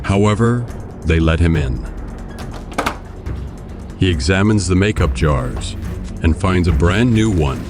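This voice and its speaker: Deep-voiced, slow male drama narrator. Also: expressive. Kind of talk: scripted audio drama narration. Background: music and crowd sounds.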